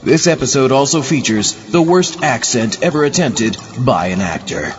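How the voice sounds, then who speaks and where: A man's voice: mid-range voice, lively intonation, normal speed. A man hosting a horror radio show, reading a scripted spooky introduction to a tale. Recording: muffled, with clear background noise.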